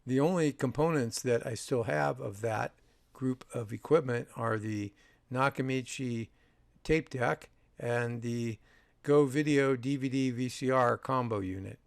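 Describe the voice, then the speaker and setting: A man in his sixties narrating old photographs in a measured, reminiscing way with dry humour. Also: mid-range voice, gravelly, no echo. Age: 60s. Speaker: video host, audio reviewer. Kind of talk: narration over a photo slideshow.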